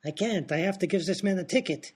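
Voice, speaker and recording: A deadpan professor sidekick, as a man plays him in a radio comedy. His delivery stays dry, with a husky tone. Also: sits high, quick pace. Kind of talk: comic radio play acting.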